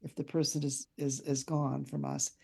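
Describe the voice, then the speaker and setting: An older woman, an author being interviewed, speaking in a thoughtful, anecdotal way with measured phrasing. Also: a bass voice, flat delivery, vocal fry, darkish tone, breathy edge. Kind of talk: reflective conversational interview.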